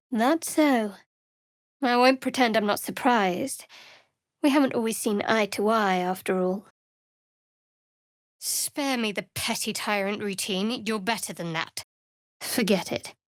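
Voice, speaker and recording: An actress playing a guarded, devout young cleric of a dark goddess in a fantasy video game, with a dry, wry, measured delivery. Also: female voice, mid-range voice, dry recording, lightly acted. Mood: cool, sardonic.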